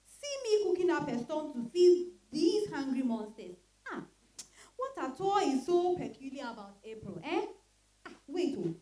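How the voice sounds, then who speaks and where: A woman's voice: high-pitched, very animated, normal speed, warm tone. A woman acting a weary, bossy but caring mother, full of exclamations, in a dramatized reading. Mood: weary, exasperated. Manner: exclamatory, peppered with interjections. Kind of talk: dramatized stage-style reading.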